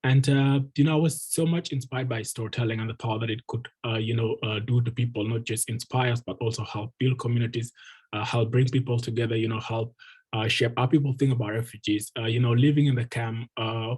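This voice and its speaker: A man's voice: medium pitch, steady tone, fairly quick, darkish tone, slightly rough. A man in his twenties from Ethiopia, a guest speaker and refugee advocate, telling his life story earnestly on a video call with many ums and uhs. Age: 20s. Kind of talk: personal story told at an online meeting.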